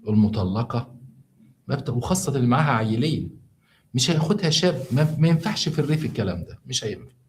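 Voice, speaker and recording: A tired man with an Egyptian Arabic accent tells a true story to viewers on a live stream, chatty and full of halting restarts as he explains things along the way. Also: mid-range voice, lively intonation, a little hiss or hum.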